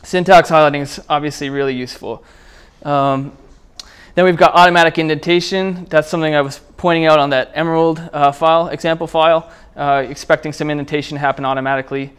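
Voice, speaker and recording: A man gives an informal technical talk at a meetup. His voice is clean and resonant and sits a little high for a man. He speaks conversationally, with halting phrasing as he explains what he is demonstrating. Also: fairly even intonation, clean tone. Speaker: presenter at a programming meetup. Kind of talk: casual technical talk with live demo. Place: indoor meetup room, speaking before a small group.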